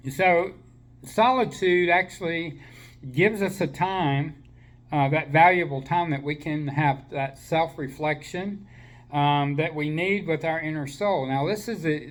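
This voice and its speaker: A man giving a slow, gentle spiritual talk to listeners while he has a slight cold, with many fillers and restarts. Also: on the high side. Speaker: spiritual teacher.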